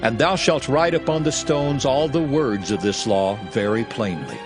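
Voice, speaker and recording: A man's voice: mid-range voice, expressive, moderate pace, natural voice. An actor playing a solemn, commanding lawgiver who instructs his people, in a dramatized scripture reading. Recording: music bed beneath voice.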